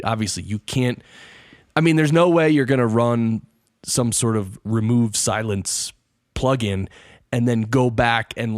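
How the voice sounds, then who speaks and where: Animated male podcast host. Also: lowish voice, dry recording, studio quality. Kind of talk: casual interview.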